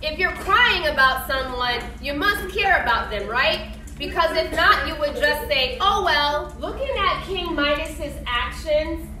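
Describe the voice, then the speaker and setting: A woman leads a classroom read-aloud discussion with a high, bright voice. She questions and praises her pupils in an encouraging, instructive manner. The sound is echoey and picked up from a distance. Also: expressive, a little slow, few pauses, slight background noise. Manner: encouraging, didactic. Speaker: elementary school teacher. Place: indoor classroom.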